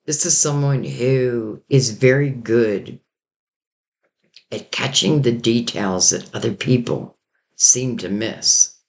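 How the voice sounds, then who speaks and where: Slow-talking man with a fading voice. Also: mid-range voice, creaky. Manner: rambling, loose and intuitive, many "like" and "you know". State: losing his voice, hoarse. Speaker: tarot reader. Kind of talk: casual spoken tarot reading.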